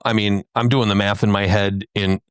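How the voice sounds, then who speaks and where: A man with a low, smooth voice talks shop on a podcast panel. He explains things casually and checks in with listeners as he goes. The sound is dry and close. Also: little pitch movement, moderate pace, no echo. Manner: explanatory, often checks with "right?". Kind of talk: casual technical discussion.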